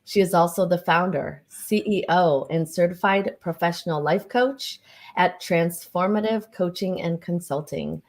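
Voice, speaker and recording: A woman's voice: lowish voice, measured pace. A woman hosting an event, enthusiastically introducing the next speaker and reading out her bio. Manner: warmly enthusiastic, reads the introduction aloud.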